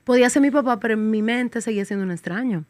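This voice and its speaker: A woman's voice: a little high, fast, a little mellow, no room sound. A woman from the Dominican Republic, a podcast guest, speaking candidly in casual interview chat, reflective with wry humor.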